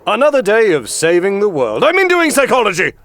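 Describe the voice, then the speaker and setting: Man as a wildly animated suave spy dad. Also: high voice, fairly quick, exaggerated voice. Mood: breezy. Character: suave spy father posing as psychologist, absent-minded. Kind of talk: cartoon parody voice acting.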